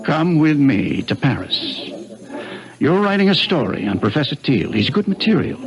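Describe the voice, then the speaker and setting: A scheming middle-aged businessman who talks calm and persuasive. His voice has a gravelly edge, and he speaks with quiet, easy confidence. Old-time radio drama. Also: on the high side, moderate pace, crowd noise, poor audio. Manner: calm, persuasive, self-assured. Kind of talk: 1950s radio drama acting.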